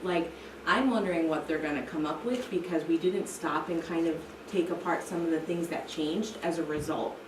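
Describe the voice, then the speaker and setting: American woman, low voice, coaching teachers, says right? a lot, echoey noisy room. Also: normal speed. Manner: often checks in with "right?". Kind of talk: coaching feedback in a rehearsal. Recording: roomy, distant mic, clear background noise.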